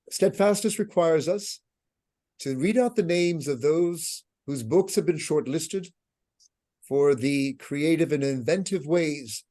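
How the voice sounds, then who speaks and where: A man with an American accent, hosting an awards ceremony with a measured, earnest, grave speech. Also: on the high side, mellow. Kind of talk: solemn formal speech. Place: online video event.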